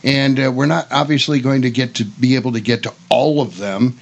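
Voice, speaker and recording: An older man hosts a radio program in a loose, chatty style. His mid-pitched voice has plenty of creak and fry, and his manner is folksy and easygoing. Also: steady tone, fairly quick, highs cut off. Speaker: radio host. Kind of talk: casual chatty radio hosting. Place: radio studio.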